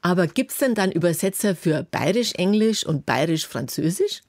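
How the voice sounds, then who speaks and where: Low-voiced woman radio presenter. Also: lively intonation, normal speed, a little mellow, no echo, clean studio sound. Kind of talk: radio interview.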